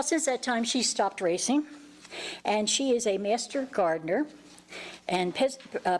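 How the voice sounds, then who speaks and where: A woman in her sixties introducing a guest speaker at a neighborhood meeting, admiring and a little halting. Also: mid-range voice, slight background noise. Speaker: association treasurer introducing the speaker. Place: community meeting room.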